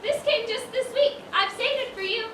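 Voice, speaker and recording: A woman's voice: very high-pitched, moderate pace. A woman on stage playing a flustered, polite widow who keeps a dress shop in an amateur comedy. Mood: flustered politeness. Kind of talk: amateur one-act stage comedy acting. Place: stage in a large echoey room. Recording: echoey stage, noisy, far-off mic.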